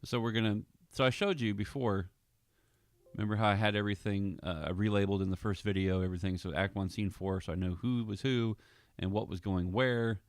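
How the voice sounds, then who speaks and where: A man's voice: on the low side, fairly even intonation, brisk, clear, resonant tone, dry recording. A man giving casual, amused and easygoing commentary as an audio drama director on his vlog.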